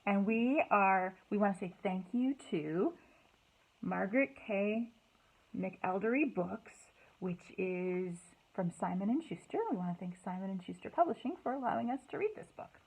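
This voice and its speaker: A woman hosting a children's storytime, speaking in an expressive, sing-song way to young listeners, in slightly dull, lo-fi sound. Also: mid-range voice, normal speed, creaky, husky. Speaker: library storytime host. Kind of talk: children's storytime, read-aloud and singalong.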